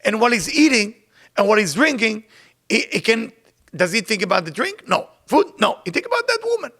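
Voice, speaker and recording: A man's voice: high voice, sing-song, a bit bright. Emphatic Israeli man teaching Kabbalah. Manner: emphatic, repeats phrases for stress; rapid recitation when reading Hebrew text. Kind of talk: informal spoken teaching with storytelling.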